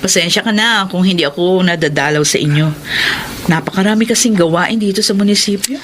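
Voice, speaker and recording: A woman's voice: mid-range voice, brisk, lightly acted. Female mayor played by an actress, Filipino, principled elder-sister type, radio drama, slight background noise. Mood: affectionate.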